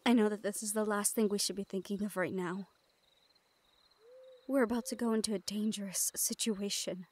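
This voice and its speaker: A woman playing a guarded but tender young thief in an intimate roleplay audio drama, speaking in a hushed, hesitant way. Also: medium pitch, steady tone, moderate pace, lightly acted.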